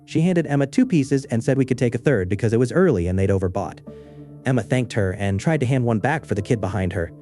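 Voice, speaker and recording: A man narrating a scripted first-person horror story in a measured, understated way. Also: medium pitch, expressive, mellow, faint music behind.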